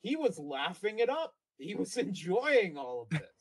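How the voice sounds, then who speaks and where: A man's voice: sits high, very animated, unhurried. A man speaking as a guest analyst in informal political commentary, emphatic and often repeating his words.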